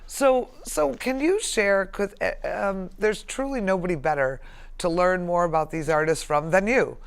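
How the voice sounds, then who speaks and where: A woman's voice: on the low side, moderate pace, smooth. A woman hosting a show, speaking in an appreciative, encouraging way as she interviews and announces events. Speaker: show host.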